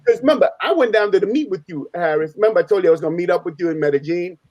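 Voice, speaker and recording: Male panel storyteller, native Spanish speaker, slangy and emphatic, rapid delivery, slightly high register. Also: expressive, a little mellow, no room sound, lo-fi sound. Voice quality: slangy, informal street talk. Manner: emphatic, repeats phrases, acts out quoted dialogue. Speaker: panelist on a live open panel. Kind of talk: casual animated storytelling.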